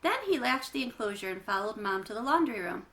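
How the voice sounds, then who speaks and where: A woman's voice: mid-range voice, animated, brisk, a bit bright. A woman reading a children's book aloud, narrating with chatty asides to young listeners. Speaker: children's read-aloud reader.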